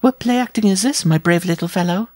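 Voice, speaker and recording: A man reading a story aloud, voicing a big, robust circus giantess in a teasing falsetto. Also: a little fast, no room sound, clean studio sound, natural voice. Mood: mocking.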